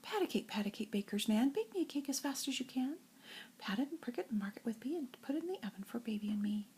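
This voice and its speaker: An older woman with a breathy, husky voice reads nursery rhymes like a grandmother, in an expressive singsong storytelling way. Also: on the high side, quick pace, a little hiss or hum. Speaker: grandmother reading to children.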